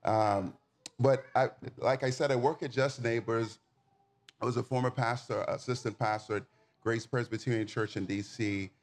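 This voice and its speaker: A man's voice: mid-range voice, fairly even intonation, moderate pace. A man in his forties from the Bahamas, giving a sermon as a guest preacher in an informal, folksy way, speaking directly to the congregation. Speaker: guest preacher and nonprofit community manager.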